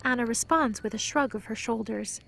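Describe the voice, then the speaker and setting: A woman's voice: a little high, normal speed, creaky, fairly bright tone, soft background music. A woman narrating a scripted audio drama as its storyteller.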